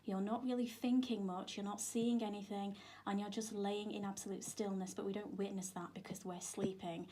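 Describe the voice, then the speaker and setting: A woman's voice: mid-range voice, little pitch movement, normal speed, few pauses. A woman, a yoga instructor speaking as a radio studio guest, informal, emphatic and explanatory. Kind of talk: explanatory radio interview talk. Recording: clear background noise in studio.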